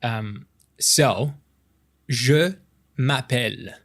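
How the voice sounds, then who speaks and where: A man acts out a friendly, playful young French Canadian guy in an intimate romantic audio roleplay. He tutors a close friend casually, in a halting, encouraging way with drawn-out demonstrations. His pitch sits in the middle of the male range. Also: gravelly, a bit bright, breathy edge, heavily acted. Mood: relaxed, instructive.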